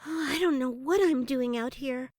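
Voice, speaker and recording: A woman's voice: high-pitched, moderate pace, a little mellow, no echo, clean studio sound, lightly acted. A woman playing a young, haughty and dramatic princess in an audiobook, with a puckish, proper, theatrical delivery. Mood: flustered, dismayed. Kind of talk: audiobook character acting.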